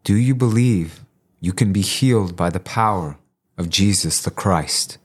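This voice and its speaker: A man's voice: sits low, measured pace, slightly rough. A man playing a devout, humble apostle and healer in an audio drama, solemn and fervent. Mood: calm, commanding. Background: music.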